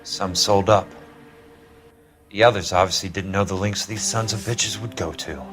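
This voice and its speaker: A man's voice: sits low, fairly even intonation, normal speed, a bit bright. An American man playing a tough 1940s insurance investigator in a video game, blunt and sardonic in dramatic delivery. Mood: sardonic, menacing.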